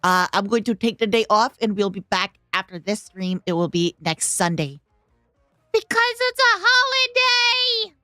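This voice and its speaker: A woman streamer chatting casually with her viewers, her voice hoarse, bubbly and talkative. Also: medium pitch, sing-song, unhurried, pushed and bright, no room sound, studio quality, soft background music. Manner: bubbly, talks to chat, hums tunes. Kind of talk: casual livestream reaction chat.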